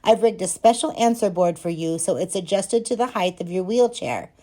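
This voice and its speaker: A woman reads as a friendly stage manager, a proud and encouraging dad in a children's story. He speaks directly and kindly, explaining things clearly at a medium pitch. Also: expressive, normal speed, dark tone, natural voice.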